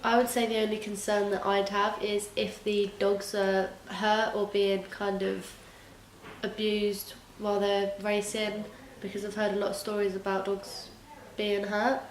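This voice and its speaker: 18 yo girl answering earnestly, pretty monotone, echoey room w faint hum. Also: medium pitch, measured pace. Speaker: interviewee. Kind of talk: interview answers.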